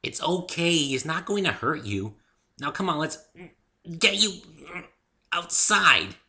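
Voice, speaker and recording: Man putting on a high, bubbly girl pony. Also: takes it slowly, slight background noise, exaggerated voice. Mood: coaxing, excited. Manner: loud, excitable, insistent. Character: bubbly, stubborn, goofy pink female pony. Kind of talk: dramatic reading of fan fiction.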